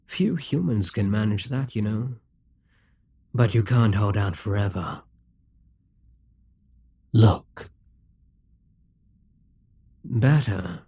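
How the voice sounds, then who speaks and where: A ruthless, possessive demon king, voiced by a man, speaks in a low, husky, unhurried drawl. He sounds calm and commanding, coaxing his listener and sinking into whispers. The audio-roleplay recording is dry and close. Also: no echo, heavily acted.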